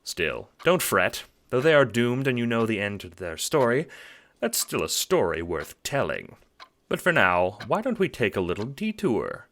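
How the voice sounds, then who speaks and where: A man's voice: medium pitch, sing-song, normal speed, fairly bright tone. A man reading scripted, dramatic narration for an audiobook-style drama.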